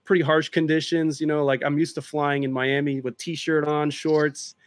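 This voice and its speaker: A man chatting casually in an interview over a video call, explaining at length in a relaxed way. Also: a little high, moderate pace, dry recording. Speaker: drone filmmaker guest.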